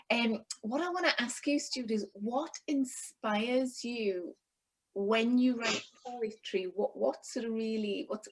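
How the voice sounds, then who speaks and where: A woman's voice: medium pitch, poor audio. A woman in the audience asking a question in an appreciative manner over a video call.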